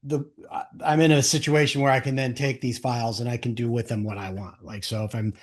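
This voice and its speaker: A man's voice: mid-range voice, steady tone, brisk. A man hosting an interview podcast in a casual chat style, thinking aloud in loose, rambling sentences.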